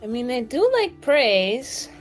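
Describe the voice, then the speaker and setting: A woman's voice: a little high, big pitch swings, slow, soft background music, pitched above the performer's own voice. Woman acting a blunt, sceptical mage. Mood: doubtful. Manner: casual, blunt, modern slangy talk. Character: blunt, sceptical female mage, fond of praise.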